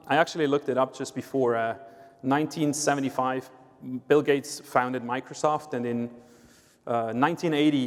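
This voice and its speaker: A man from Switzerland with a Swiss accent, a sales executive explaining things on a conference panel, with frequent "uh" and "right?". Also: mid-range voice. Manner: explanatory, uses filler "uh" and "right?". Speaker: sales executive, guest speaker. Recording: long reverb of a hall.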